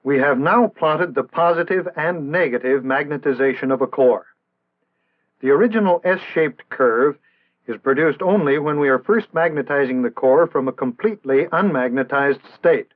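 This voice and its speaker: Tinny vintage film narrator, American man. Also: a little high. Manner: measured, formal, didactic. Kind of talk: scripted instructional narration, early 1960s film. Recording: tinny band-limited vintage 1960s film soundtrack.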